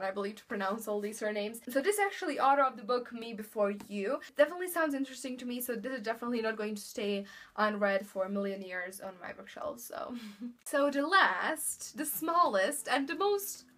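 A woman's voice: on the high side, a bit bright. Bubbly young woman, Bosnian accent. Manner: chatty, upbeat, many fillers and "really". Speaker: book vlogger. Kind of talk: casual chat. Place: indoor room in front of bookshelves. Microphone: camera in front of her.

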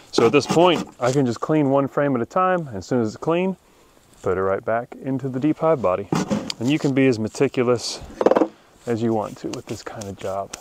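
A man's voice: medium pitch, heavy background noise. A middle-aged man explaining beekeeping chores outdoors in a wooded yard, talking plainly and practically, recorded by a camera at medium distance. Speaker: beekeeping channel host. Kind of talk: outdoor how-to demonstration.

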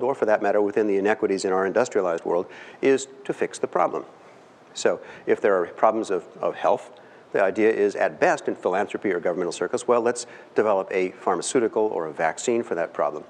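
A middle-aged man from California giving an earnest, emphatic lecture with pauses and fillers, recorded by a far camera in a large lecture hall. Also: fairly low pitch. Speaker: guest speaker. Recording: long hall reverb.

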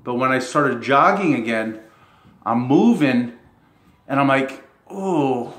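A man talking casually to his fans in a rambling, halting way, chuckling between phrases. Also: mid-range voice, expressive, fairly bright tone. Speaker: self-described model. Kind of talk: casual monologue to camera. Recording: roomy echo.

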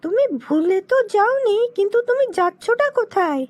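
A performer voicing a shrill, nagging wife in a cartoon joke skit, scolding and insistent. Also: expressive, moderate pace.

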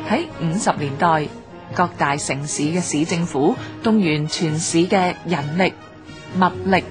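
A woman with a Hong Kong Cantonese accent reads a scripted documentary narration in a formal, measured way. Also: fairly low pitch.

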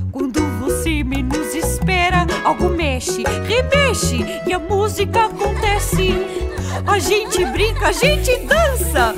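A cheerful, giggly cartoon helper. Her voice is very high and animated, playful and sing-song, with music behind it. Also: exaggerated voice. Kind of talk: animated children's cartoon acting.

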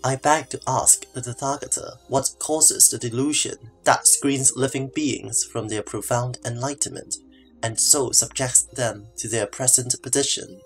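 A man voices an earnest male disciple who respectfully questions his master. His voice sits at a middling pitch, in a scripted scripture drama with music underneath. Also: fairly even intonation, unhurried, close to an everyday voice, rough recording. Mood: earnest questioning. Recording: background music behind the voice.

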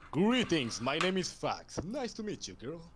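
A man's voice: a little high, huge pitch swings, unhurried, background noise. Man from abroad running the game. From outside the US. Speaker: game organizer. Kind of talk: casual chat.

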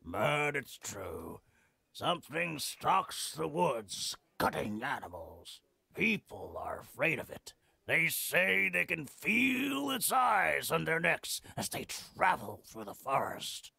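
A scruffy old video game veteran with a very creaky, raspy voice. He is an irreverent, boozy freeloader who drags his words slowly and theatrically, swinging widely in pitch. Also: big pitch swings, sharp tone, no room sound, exaggerated voice. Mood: gloomy storytelling. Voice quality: gravelly, raspy. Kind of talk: video game character acting.

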